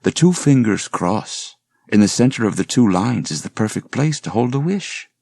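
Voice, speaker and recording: A charming male professor in an audiobook, acted out by the narrator, a man. He speaks in a suave, courtly, mock-formal way, lifted above a gravelly natural register, recorded dry. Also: fairly low pitch, sing-song, moderate pace, darkish tone, no room sound, voice pushed up, heavily acted. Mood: suave, flirtatious.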